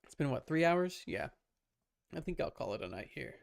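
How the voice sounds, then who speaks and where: A man's voice: fairly high pitch, very animated, moderate pace, creaky. A man livestreaming a game with casual, informal commentary, muttering to himself and his chat. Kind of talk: casual live gaming commentary.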